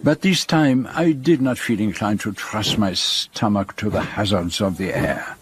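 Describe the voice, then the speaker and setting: A man's voice: lowish voice, background noise, natural voice. A man playing a shrewd, courteous, self-assured foreign detective in a radio drama, speaking formally and precisely. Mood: reflective. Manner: formal, precise, measured questioning. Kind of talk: radio drama acting and first-person narration.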